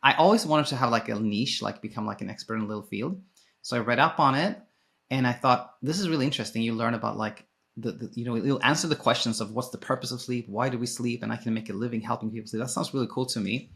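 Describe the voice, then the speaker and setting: A man's voice: medium pitch, quick pace, vocal fry, a bit bright. A man from Sweden who works as a sleep coach, answering interview questions in an informal, explanatory way and rephrasing as he goes. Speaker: sleep coach and former sleep physician, podcast guest.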